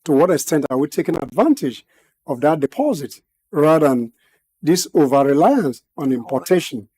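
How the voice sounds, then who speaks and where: A man giving measured analysis as a guest in a studio interview, pausing often with "uh". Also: fairly high pitch, lively intonation, mellow, husky, poor audio. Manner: measured, many "uh" pauses, formal phrasing.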